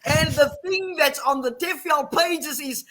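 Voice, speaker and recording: A middle-aged woman from the Western Cape with an Afrikaans South African accent, telling her story in animated casual talk on a video call. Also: high-pitched, slow. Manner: animated, repeats phrases, laughs often. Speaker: guest, online English teacher.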